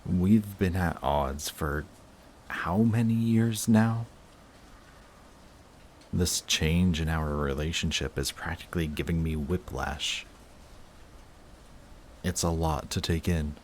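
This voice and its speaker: A man playing a guarded villain in love with a rival, in an intimate audio roleplay with halting, emotional delivery. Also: low-pitched, darkish tone, a little hiss or hum. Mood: overwhelmed, happy. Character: lovestruck male villain, guarded, flustered, confessing love to rival.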